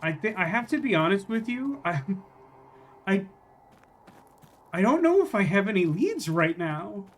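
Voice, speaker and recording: A high-voiced man gives casual live commentary on a game. He thinks aloud in a rambling, wry way, with faint music playing behind him. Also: very animated, measured pace, pauses often. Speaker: game streamer.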